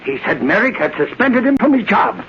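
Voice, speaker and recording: An excitable Irish newspaperman in a vintage radio play, high and swooping in pitch. He rambles and blusters his way through every report. The sound is muffled, like an old broadcast. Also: a little fast, a little hiss or hum. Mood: eager, garrulous. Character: excitable, long-winded Irish newspaper reporter, adult man. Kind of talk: 1930s-40s radio drama acting.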